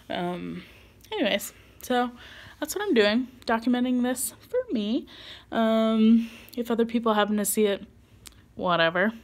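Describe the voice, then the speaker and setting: A woman in her late twenties talking casually to her camera in a personal vlog, rambling with many ums and likes and laughing at herself. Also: medium pitch. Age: 20s. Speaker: vlogger.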